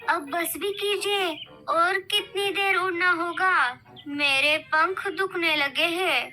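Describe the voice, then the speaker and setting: A woman's voice: very high-pitched, slow pace, bright, forceful tone, heavily acted. A woman voicing an anxious, naive young female bird in a children's cartoon, whiny and pleading. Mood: whining. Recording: noticeable background noise.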